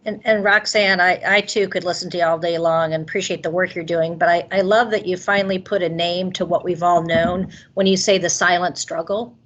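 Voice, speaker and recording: A woman's voice: on the low side, steady tone, a little fast, hardly any pauses, a bit bright, dull audio. A woman moderating a virtual meeting from an office, acknowledging speakers and putting questions to them.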